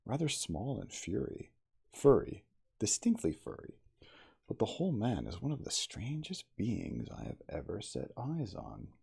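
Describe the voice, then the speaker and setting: A man voicing an earnest, uneasy, inquisitive gentleman of science in a storybook, speaking formally and emphatically. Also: fairly low pitch, huge pitch swings, measured pace, vocal fry, slightly rough, voice pushed up, full character voice. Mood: insistent. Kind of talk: storybook character dialogue.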